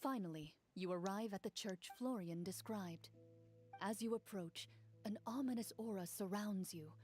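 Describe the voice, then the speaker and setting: An actress playing a calm, mysterious game master, narrating a fantasy adventure in a measured, dramatic way. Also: female voice, medium pitch, natural voice. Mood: portentous. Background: music.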